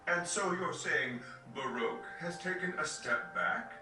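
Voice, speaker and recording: A man's voice: a little high, measured pace, close to an everyday voice. A man playing a sly, obedient aide to a villain in a video game, polite and insinuating. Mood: sly, courteous. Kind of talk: dramatic video game cutscene acting. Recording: echoey, distant, faint music.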